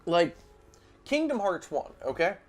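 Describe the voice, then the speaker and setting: A man's voice: high voice, a little slow. A man chatting casually and giving opinions while playing a video game with his wife. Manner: lively, opinionated, rambling. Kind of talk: casual let's-play chat.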